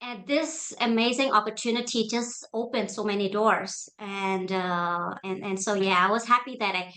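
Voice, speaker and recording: Woman in her thirties from Denmark, interview guest on a video call, mid-pitched, chatty, tags her lines with "right?". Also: fairly even intonation, normal speed, fairly bright tone. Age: 30s. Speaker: guest, leadership coach. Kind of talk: casual interview answers.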